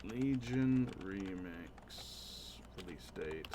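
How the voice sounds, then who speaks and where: A man's voice: low-pitched, lively intonation, extremely slow, noisy background. A man chatting casually on a livestream while he plays a game. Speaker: game streamer.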